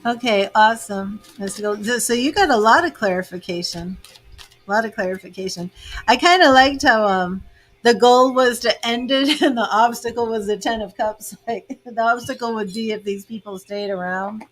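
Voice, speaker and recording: A chatty American woman in her fifties reads tarot cards live for her viewers. Her voice sits a little high, and she laughs easily as she talks. She fills her pauses with relaxed "um"s and casual asides. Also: low-quality recording. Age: 50s. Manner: chatty, laughs often, many fillers. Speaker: tarot reader hosting a livestream. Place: home with grandchildren and birds in earshot.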